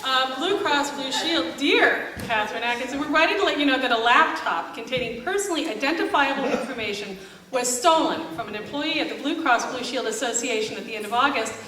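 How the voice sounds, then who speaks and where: A woman's voice: sits high, lively intonation, fairly quick. A middle-aged American woman, a family doctor, giving a sarcastic, exasperated live speech to an audience. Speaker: family doctor speaking as an activist. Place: live concert event in a church. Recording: echoey hall from far off, noisy.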